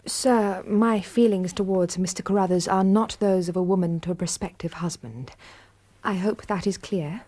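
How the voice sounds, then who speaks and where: A woman's voice: on the low side, moderate pace, warm tone, close to an everyday voice. A woman acting in a radio drama as a spirited, proper young Victorian lady with a British accent, prim and earnest in her speech. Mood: flustered, indignant. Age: young adult.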